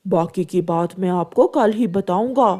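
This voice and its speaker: Woman voicing a slow, scheming male cartoon pigeon. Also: on the low side, dark tone. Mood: sly, confident. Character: clever scheming male pigeon, smooth-talking cartoon bird. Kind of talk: animated children's moral story voice acting.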